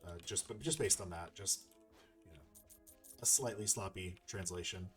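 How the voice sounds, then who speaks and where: A man with a fairly low voice hosts a casual game playthrough. He muses aloud in a rambling, conversational way. Music plays faintly behind him. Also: moderate pace. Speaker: gameplay video host.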